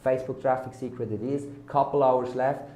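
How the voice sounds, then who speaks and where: A man's voice: mid-range voice, fairly even intonation, brisk, mellow. A man with a German accent, a German native speaker, giving a hyped, salesy marketing vlog full of repeated buzzwords. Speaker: online marketing vlogger. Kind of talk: casual direct-to-camera sales talk. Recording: echoey room.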